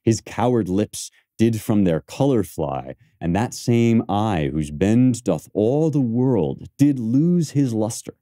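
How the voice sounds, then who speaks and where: A man's voice: fairly low pitch, sing-song, slow, few pauses, mellow, no echo, studio quality, voice pushed up. A man playing a proud, resentful Roman conspirator in emphatic, rhetorical Shakespearean verse. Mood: scornful, incredulous. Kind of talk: dramatic Shakespearean verse recitation.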